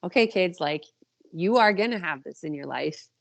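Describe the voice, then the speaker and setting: A woman in her thirties with a voice a little lower than most. She talks in a casual, animated, self-deprecating way, as an author being interviewed in a relaxed podcast chat. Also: normal speed, gravelly. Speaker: podcast guest, indie author.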